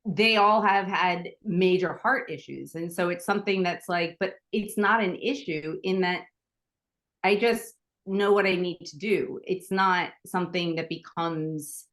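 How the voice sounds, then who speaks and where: Animated lower-pitched New woman. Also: measured pace. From Staten Island, New York. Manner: animated storyteller, acts out quoted dialogue, frequent restarts. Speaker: podcast guest. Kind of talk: casual conversational interview.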